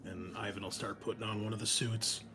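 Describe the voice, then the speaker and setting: A man's voice: fairly low pitch, level tone, brisk, background noise. Casual man playing a tabletop game.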